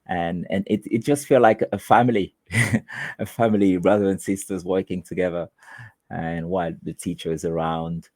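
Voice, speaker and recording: A man from Haiti, Haitian Creole his first language, speaks slowly in a very deep, gravelly voice. He answers interview questions as a teacher, halting and restarting often. The sound is close and dry. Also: steady tone, darkish tone, no room sound. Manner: halting, many restarts and fillers. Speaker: teacher and guest.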